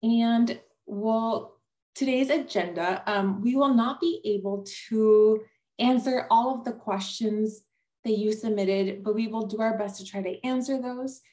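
A woman's voice: a little high, unhurried, warm tone. A woman admissions officer hosting an online webinar over a video call, friendly and dotted with "um" fillers.

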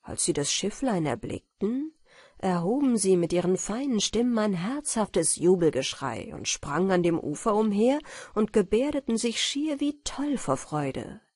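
A woman narrating a bedtime fairy tale audiobook in a gentle, measured storytelling manner. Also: medium pitch, very animated, breathy edge, no echo, clean studio sound.

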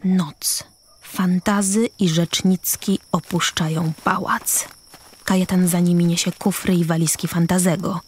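A woman's voice: lowish voice, steady tone, measured pace, a little hiss or hum. A woman narrating scripted stage directions for a radio play.

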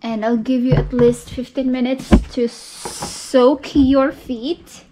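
Slow-talking young woman from the Philippines. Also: high voice, steady tone. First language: Filipino. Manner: playful, giggly. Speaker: vlogger. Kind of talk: casual home vlog. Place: small home living room and bathroom, indoors. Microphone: handheld camera at arm's length. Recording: noisy home camera audio.

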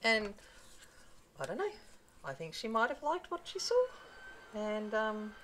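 A woman's voice: mid-range voice, sing-song, unhurried, fairly bright tone, a little hiss or hum, low-quality recording. A middle-aged woman from North Queensland with a broad Australian accent, chatting casually and self-deprecatingly while crafting at her desk. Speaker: papercraft channel host. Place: home craft desk, indoors. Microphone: tabletop mic, overhead camera on hands.

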